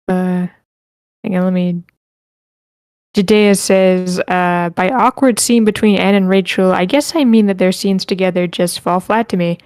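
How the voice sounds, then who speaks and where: A woman's voice: fairly low pitch, a little mellow, clear, resonant tone, no echo, clean studio sound. A woman hosting an online group session, casually moderating the chat and reading comments aloud. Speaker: host of an online script table read.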